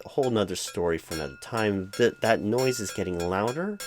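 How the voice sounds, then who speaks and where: A man acting out a flustered, officious hiring manager in a comedic one-sided audio roleplay, halting and stammering as he speaks. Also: on the low side, expressive. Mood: flustered, apologetic. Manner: halting, stammering, fussy and bureaucratic. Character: flustered, exasperated, officious male hiring manager, distracted, apologetic.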